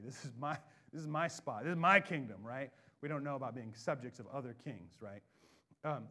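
An American man from the Pacific Northwest preaching a sermon, loud and animated, dropping into quiet asides before rising to booming emphasis. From Bellingham, Washington, USA. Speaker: pastor. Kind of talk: expository sermon.

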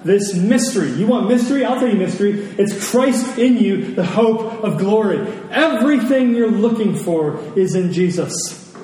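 A man's voice: sits high, lively intonation, moderate pace, dark tone. A man preaching a sermon to a congregation, speaking emphatically and repeating phrases for effect. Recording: very echoey hall, distant mic, faint noise.

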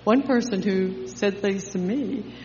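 An older woman sharing an emotional church testimony in a heartfelt, halting way. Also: mid-range voice, slight background noise. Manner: emotional, heartfelt, with soft laughs. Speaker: congregation member sharing testimony. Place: church sanctuary. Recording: echoey church hall, dull sound.

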